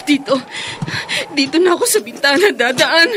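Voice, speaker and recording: An actress in a Filipino radio drama playing a distressed young domestic worker, pleading and tearful. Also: female voice, high voice, animated, moderate pace, bright, forceful tone, a little hiss or hum. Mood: desperate, fearful. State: crying. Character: terrified, desperate young woman, abused overseas domestic worker.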